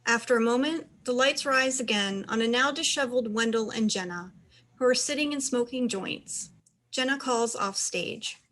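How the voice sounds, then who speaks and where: A woman's voice: fairly high pitch, normal speed, fairly bright tone. A woman reading stage directions as scripted narration for a play.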